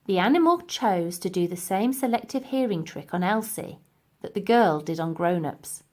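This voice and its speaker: A woman's voice: on the low side, animated, moderate pace, a little mellow. A woman narrating a children's storybook expressively as its reader.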